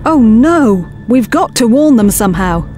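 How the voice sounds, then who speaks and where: A woman acting a skeptical, practical expedition officer in a science fiction audio drama, brisk and matter-of-fact. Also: a little high, expressive, warm tone. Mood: alarmed. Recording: music bed under the dialogue.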